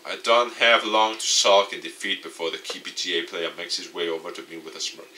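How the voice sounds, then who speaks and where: A man reading visual novel game narration aloud in an expressive, dramatic way. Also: sits low, fairly quick, far from the mic, slight background noise. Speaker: gaming YouTuber.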